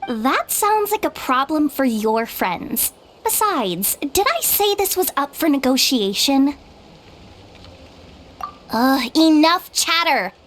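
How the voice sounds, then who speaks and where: A pompous riddling mushroom. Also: female voice, high voice, big pitch swings, slow pace, fairly bright tone, exaggerated voice. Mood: haughty. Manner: pompous, formal and condescending. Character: haughty talking mushroom, a smug riddle-setter. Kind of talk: video game voice acting.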